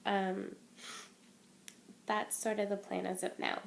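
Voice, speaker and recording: Young woman, stuffy cold voice, chatty and candid, mid pitch, home vlog, faint background hum. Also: flat delivery, slow pace, creaky. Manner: chatty and candid, many ums and restarts. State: stuffy, slightly worn voice from a cold. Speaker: vlogger. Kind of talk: casual talking-to-camera update. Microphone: phone or webcam.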